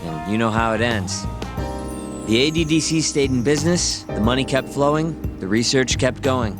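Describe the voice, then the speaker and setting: A man's voice: mid-range voice, animated, moderate pace, lightly acted. An actor voicing a glib male informant in a game dub, laying out shady dealings in a casual, wry, slangy way. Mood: wry, knowing. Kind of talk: English game dub dialogue, conversational exposition. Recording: music behind the voice.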